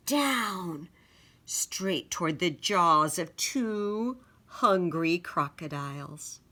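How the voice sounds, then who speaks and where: A woman reading a children's picture book aloud in a dramatic, deliberate storytelling manner. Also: mid-range voice, pushed and bright.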